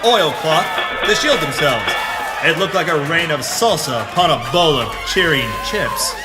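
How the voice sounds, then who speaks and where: Hardboiled pulp hero acted by a man, deadpan narration, slightly high voice, reverberant, music behind. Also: a little slow, a loud crowd. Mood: excited narration. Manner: deadpan, self-serious. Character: hardboiled male pulp action hero detective. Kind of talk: pulp audio drama narration and acting.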